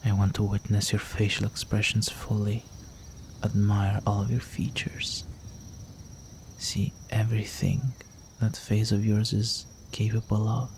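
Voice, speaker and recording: Low, slow, seductive vampire played by a man. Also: monotone, darkish tone, a little hiss or hum. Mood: tender, admiring. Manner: courtly, flirtatious, teasing, breathy with drops into whisper. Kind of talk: intimate scripted roleplay audio drama.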